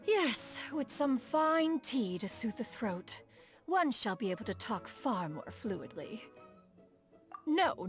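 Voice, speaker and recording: A woman's voice: on the high side, a bit bright, exaggerated voice. A woman voicing a proud, refined immortal inventor in a video game, with formal, archaic and lofty diction. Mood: pleased, indulgent. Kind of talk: scripted video game character dialogue.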